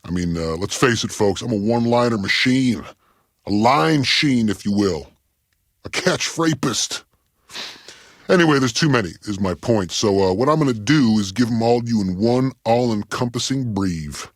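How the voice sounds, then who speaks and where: Deadpan, rambling man as a low-voiced radio DJ. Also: moderate pace, no echo, studio quality, natural voice. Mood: deadpan, self-important. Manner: deadpan, rambling, trails off with ums. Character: goofy, self-important male rock radio DJ, rambling and deadpan. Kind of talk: comedic radio DJ patter.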